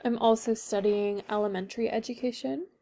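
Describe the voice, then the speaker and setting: A woman's voice: fairly high pitch, steady tone, brisk, warm tone, slight background noise. Young Northern Irish woman, a bit under the weather, hesitant student presenter full of ums. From Northern Ireland. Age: young adult. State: a little sick. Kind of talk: class presentation.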